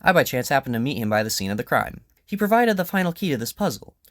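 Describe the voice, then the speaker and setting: A man playing a brisk, confident detective in an audio drama, with a probing, theatrical, declarative manner. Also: mid-range voice, very animated, no echo, clean studio sound, pitched above the performer's own voice, natural voice. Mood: confident, expository. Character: brisk, confident male detective, incisive and commanding.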